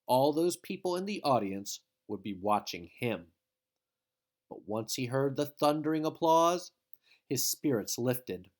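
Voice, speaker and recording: A man reading a children's picture book aloud in an expressive, dramatic storytelling style. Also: mid-range voice, measured pace. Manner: dramatic, with emphatic exclamations.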